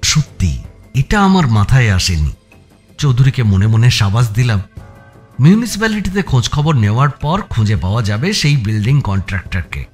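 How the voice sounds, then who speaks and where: A man playing a curious first-person narrator in a thriller audiobook, telling it with expressive suspense. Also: mid-range voice, moderate pace, breathy edge, faint music behind. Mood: reflective.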